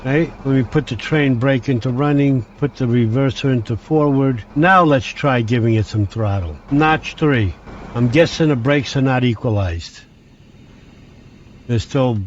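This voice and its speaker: Man at mid pitch, halting train-sim commentary, dull lo-fi track, faint engine hum. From Hungary. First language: Hungarian. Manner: halting, with frequent restarts, talks through each control step. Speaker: train simulator player. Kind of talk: gameplay commentary.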